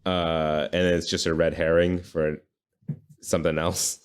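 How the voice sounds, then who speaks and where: Male podcast co-host, deep pitch, informal conversational gamer talk. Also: flat delivery, unhurried, smooth. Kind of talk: casual video game podcast chat.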